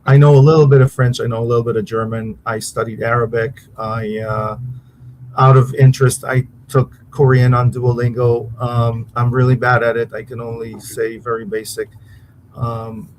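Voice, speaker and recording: Man, Hebrew speaker, halting, searching for words, mid-pitched voice, faint background hum. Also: level tone, a little mellow, rough recording. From Israel. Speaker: interview guest, uncle of a victim. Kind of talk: conversational interview answers.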